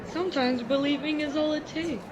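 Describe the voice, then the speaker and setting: A woman's voice: high voice, fairly quick, a little mellow, lo-fi sound, a faint crowd, a little hiss or hum, natural voice. A woman voicing a reassuring, earnest seventh-grade boy in an audio drama. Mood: encouraging.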